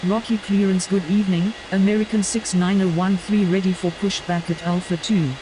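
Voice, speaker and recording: A man's voice: sits high, level tone, normal speed, noisy background, lightly acted. A man playing a polite airline pilot making procedural radio calls in a game. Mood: businesslike.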